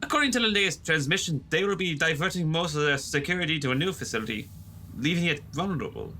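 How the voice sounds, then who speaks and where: A man's voice: a little high, lively intonation, fast, bright, forceful tone, exaggerated voice. A man playing an earnest, slightly hesitant ship's doctor and inventor in a sci-fi audio drama. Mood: excited, proud.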